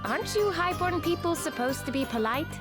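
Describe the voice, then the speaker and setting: An actress playing an outspoken, perceptive young adventurer in a game, casual and frank. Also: female voice, very high voice, expressive, a little fast, loud music behind the voice. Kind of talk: dramatic video game voice acting.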